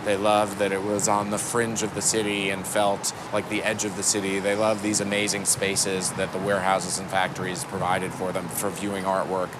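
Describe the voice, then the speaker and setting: An American man speaks as a documentary interviewee. His voice is on the low side, with clear outdoor traffic noise around him. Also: completely flat delivery, moderate pace, hardly any pauses.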